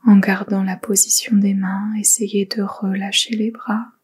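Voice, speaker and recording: A woman guides a relaxation meditation in a soft, whispery voice that is full of breath. She speaks slowly and gently, leaving pauses between soothing instructions. Her intonation stays very flat and steady throughout. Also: medium pitch, slightly rough. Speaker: yoga nidra meditation guide.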